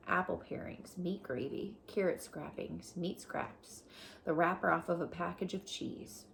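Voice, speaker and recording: Low-pitched, creaky woman reading to children. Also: a little hiss or hum. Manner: deliberate, explanatory, with questions to young listeners. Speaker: teacher reading a book aloud to pupils. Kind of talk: children's storybook read-aloud with explanations.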